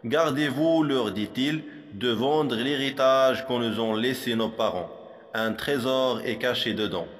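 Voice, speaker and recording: Slow-reciting man in long reverb. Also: medium pitch. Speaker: poem reciter for a primary school lesson. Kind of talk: poetry recitation.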